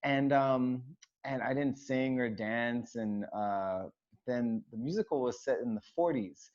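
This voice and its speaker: A man of middle pitch, a choreographer chatting as a guest, talks in a loose, rambling way full of ums and false starts. The recording is dull and lo-fi. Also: measured pace, darkish tone. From United States, raised in Hawaii. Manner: rambling, many fillers and restarts. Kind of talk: casual interview chat. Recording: dull lo-fi sound.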